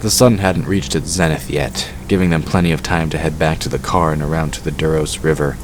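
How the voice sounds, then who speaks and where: A man's voice: rumbling low, steady tone, a little fast, background noise. A man reading scripted narration for a fantasy audio drama. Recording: music under the voice. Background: music.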